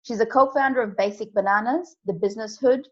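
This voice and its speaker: A woman's voice: a little high, a little fast. A woman hosting a podcast interview, introducing her guest with enthusiasm and lots of praise. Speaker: podcast host. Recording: dull lo-fi sound.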